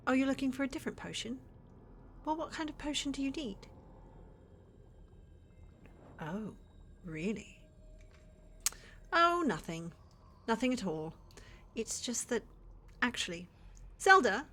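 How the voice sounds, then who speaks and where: A woman's voice: fairly high pitch, very animated, normal speed, pauses often, fairly bright tone, slight background noise. Woman acting a flirty, sly witch shopkeeper, swooping intonation. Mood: coaxing, attentive, then sly, commanding. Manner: coaxing, teasing, addresses listener as dear. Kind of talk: cinematic ASMR fantasy roleplay.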